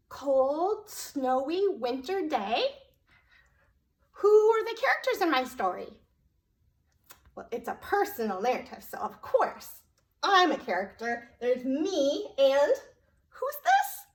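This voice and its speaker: A woman's voice: sits high, fairly bright tone. A woman telling a story to preschoolers as a children's librarian, in a sing-song, child-directed way with frequent questions. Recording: roomy echo.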